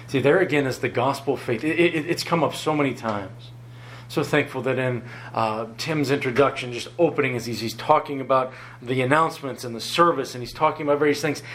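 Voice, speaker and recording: A man preaching a sermon, earnest and often chuckling, his voice lower than usual after an illness and halting when he ad-libs. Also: a bit bright. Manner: earnest, chuckles often, rises in pitch for emphasis; halting, repeats words when ad-libbing.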